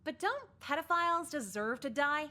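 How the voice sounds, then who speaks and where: A cynical teenage high school girl from a video game. Her voice is high and bright, with a sharp edge. She talks bluntly and sardonically, as if nothing impresses her. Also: expressive, normal speed. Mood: sardonic, blunt.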